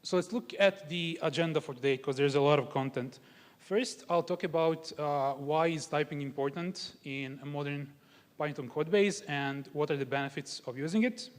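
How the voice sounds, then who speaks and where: A young man from Croatia giving a technical conference talk in a large hall, explaining his points step by step with examples. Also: a little high. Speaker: conference speaker. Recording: long hall reverb.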